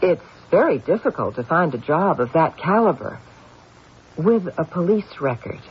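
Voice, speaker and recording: An actor playing a stubborn, intuitive police detective in an old-time radio mystery, insistent and deliberate. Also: male voice, a little high, sing-song. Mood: politely probing. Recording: dull, hissy old radio recording.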